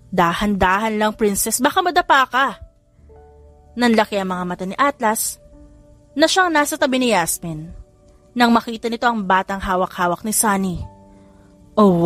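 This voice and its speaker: A woman's voice: a little high, a bit bright. A woman reading a scripted audiobook story as its narrator. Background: faint music.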